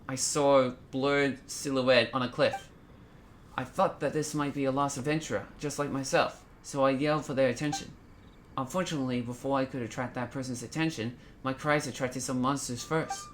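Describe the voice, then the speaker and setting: An actor playing an earnest male adventurer in a video game, telling his report in a formal, deliberate way. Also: medium pitch, steady tone, fairly bright tone, slight background noise, close to an everyday voice. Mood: earnest recounting. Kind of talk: video game character acting.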